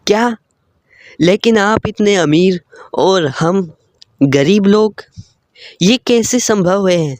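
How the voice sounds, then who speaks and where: A poor village father from a cartoon moral tale, humble and deferential, played by the narrator in a raised pitch. The delivery is slow and respectful. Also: darkish tone, no echo, natural voice. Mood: astonished.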